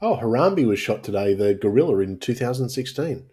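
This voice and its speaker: A man with an average-pitched voice talks casually as a podcast co-host. His phrasing is hesitant and halting, as in an unscripted panel chat. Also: lively intonation, dark tone.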